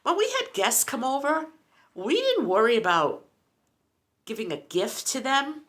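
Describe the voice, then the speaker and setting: Woman in her sixties for a vlog, chatty and rambling, bright animated delivery. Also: fairly high pitch, unhurried. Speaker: lifestyle vlogger. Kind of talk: casual chat.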